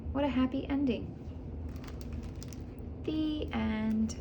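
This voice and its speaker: A woman reading a children's story aloud in an expressive, animated storytelling manner. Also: high-pitched, slow pace, lo-fi sound, background noise. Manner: animated, acts out dialogue and animal sounds. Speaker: children's book reader. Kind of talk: expressive read-aloud storytelling with casual asides.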